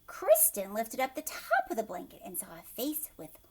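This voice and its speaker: A woman hosts a storybook read-aloud for children. Her voice is high and swoops expressively. She narrates with emphasis and often turns to ask the listeners questions. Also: huge pitch swings, moderate pace, husky.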